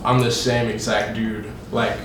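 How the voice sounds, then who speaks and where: A young man in his twenties from Hawaii, a musician talking casually as a guest, relaxed and rambling with lots of fillers. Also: lowish voice, measured pace, far from the mic, background noise. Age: 20s. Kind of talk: casual interview chat. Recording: very echoey room, from afar.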